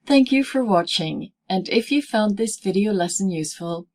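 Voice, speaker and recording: A woman presenting a scripted pronunciation lesson as a teacher, speaking in deliberate, clearly segmented phrases. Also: mid-range voice, lively intonation, a little mellow. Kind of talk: scripted instructional narration in easy English.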